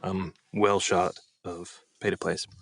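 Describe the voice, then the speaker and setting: A man's voice: sits low, level tone, slow, vocal fry, fairly bright tone, no room sound. A man who works as a voice actor, talking casually on a podcast in a thoughtful, rambling way. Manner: thoughtful, rambling with restarts and hedges. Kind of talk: casual chat.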